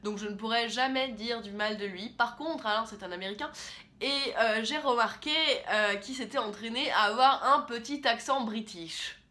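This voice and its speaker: A young woman talking casually and with strong opinions as she reviews films into a camera in a small room, often pausing on fillers. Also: fairly high pitch, sharp tone. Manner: chatty, opinionated, with frequent fillers. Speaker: film critic host. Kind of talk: casual film review vlog.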